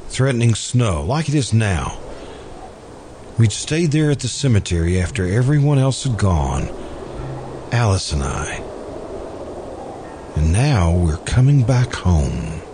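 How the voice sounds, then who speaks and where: An actor playing a mild, courteous, lovelorn murderer in an American radio drama, speaking haltingly and politely. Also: male voice, on the low side, very animated. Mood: wistful. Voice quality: hesitant, halting delivery. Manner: polite, deferential, quietly stubborn. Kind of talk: old-time radio drama acting. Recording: amateur radio recording, clearly noisy background.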